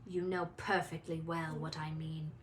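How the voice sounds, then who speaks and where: A woman voicing a cold, menacing male teacher in an icy, deliberate way for a storybook. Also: low voice, little pitch movement, a little hiss or hum, pitched below the performer's own voice.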